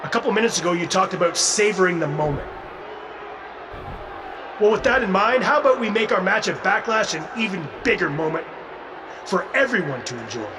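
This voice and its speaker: Game wrestling champion: pompous, condescending veteran, theatrical high voice, off-mic with noise. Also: male voice, expressive, brisk, long pauses. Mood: grandiose. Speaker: professional wrestler. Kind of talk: in-ring wrestling promo. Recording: distant mic with clear noise.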